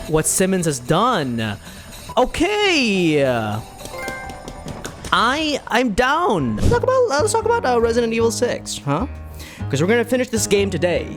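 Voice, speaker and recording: Animated streamer, rambling and repetitive. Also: mid-range voice. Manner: rambling, repeats words, animated. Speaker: streamer reacting to a video game playthrough. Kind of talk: casual livestream chat, commentary.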